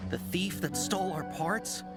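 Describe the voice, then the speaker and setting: A man's voice: high voice, measured pace. A man voicing a nervous, hesitant caravan merchant in a game, who stammers and slips into sales talk. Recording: game audio over loud music.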